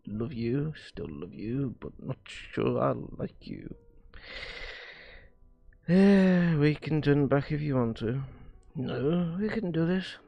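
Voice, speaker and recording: A man with a gravelly, fry-heavy voice reads visual novel dialogue aloud on a stream. He takes it slowly and haltingly, stumbling and rereading lines. Faint music plays behind him. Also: fairly high pitch, sing-song, warm tone, no echo.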